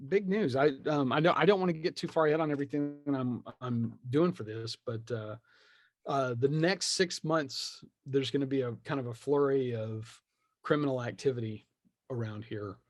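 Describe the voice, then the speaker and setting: A man's voice: medium pitch, normal speed, no echo, clean studio sound. A man chatting casually as a podcast guest, joking and slipping into hammy, mock-dramatic asides. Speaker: podcast guest, tabletop game creator.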